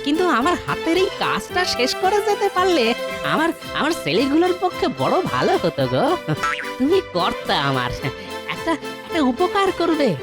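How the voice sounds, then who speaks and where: A woman performs a poor but crafty cartoon blacksmith, a village man. His pitch swoops freely as he mutters and exclaims, over clearly audible music. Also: huge pitch swings, moderate pace. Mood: meek, crafty. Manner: rustic, exclamatory, self-muttering. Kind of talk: animated cartoon dub.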